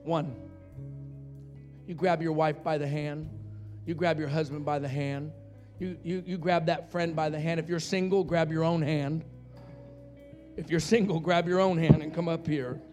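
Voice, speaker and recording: Man, high-pitched voice, charismatic preacher, altar call, insistent repeated exhortation, guitar worship music behind. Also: fairly even intonation, normal speed, pauses often, darkish tone. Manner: exhorting, repetitive urging.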